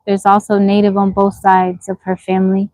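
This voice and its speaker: A woman's voice: mid-range voice, monotone, moderate pace, darkish tone, dry recording, low-quality recording. A woman in her forties hosting a casual livestream from the woods, reading viewer comments and answering frankly. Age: 40s. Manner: frank, questioning, repeats herself. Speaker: livestream host. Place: outdoors in the woods.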